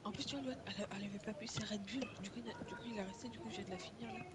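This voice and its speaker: A woman chatting with friends in quick, slangy casual speech, often trailing off into a murmur. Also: mid-range voice, very noisy. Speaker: friend of the vlogger. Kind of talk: casual chat among friends.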